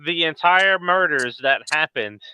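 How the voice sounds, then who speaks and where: A man's voice: fairly high pitch, animated, a little slow, sharp tone, clear, resonant tone, no room sound, low-quality recording. A man hosting a casual live talk show, telling stories informally and acting out quotes as he goes. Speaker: podcast host.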